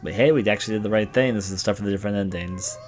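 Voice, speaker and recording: A man's voice: lowish voice, racing. Male gamer with faint music behind. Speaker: let's play commentator. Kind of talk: casual game commentary and reading aloud. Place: indoors, recording over gameplay.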